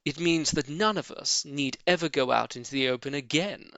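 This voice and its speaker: A man's voice: a little high, moderate pace, gravelly, a bit bright, dry recording. A man voicing a jovial, confident male fox in a storybook, making grand speeches to his friends. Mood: grand, rousing.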